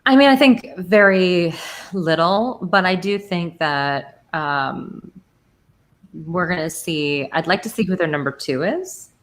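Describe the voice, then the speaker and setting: A woman guest analyst on a casual podcast, speaking in a relaxed, offhand way that hedges with fillers. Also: fairly low pitch, takes it slowly.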